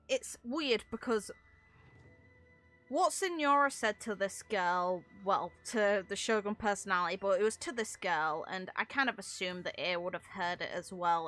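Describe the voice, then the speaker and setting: A woman's voice: fairly low pitch, normal speed, fairly bright tone, faint music behind. A woman talking casually and analytically through game lore, with opinionated, rambling asides. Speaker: content creator commentating on a game.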